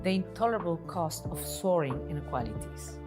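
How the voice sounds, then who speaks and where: A woman's voice: on the low side, lively intonation, a little fast. Woman giving an earnest address over music. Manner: earnest, rhetorical. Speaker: speaker in a video message. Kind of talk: formal prepared address. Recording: speech over clear music bed.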